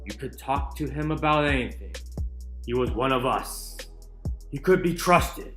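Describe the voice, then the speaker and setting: A man giving a dramatic, emphatic reading of a book, with deliberate pauses. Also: mid-range voice, lively intonation. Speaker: channel narrator reading a book aloud. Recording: roomy echo with music underneath. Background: soft chill music.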